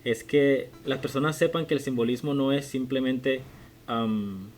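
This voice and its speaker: A man in his late twenties hosting a podcast interview, asking measured questions with occasional restarts. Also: medium pitch, a little mellow. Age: 20s. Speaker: podcast host. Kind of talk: conversational interview.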